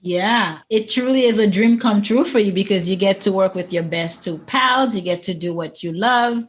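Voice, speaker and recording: A woman's voice: mid-range voice, normal speed. A woman hosting a casual podcast interview in an encouraging, affirming way. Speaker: podcast host, coach.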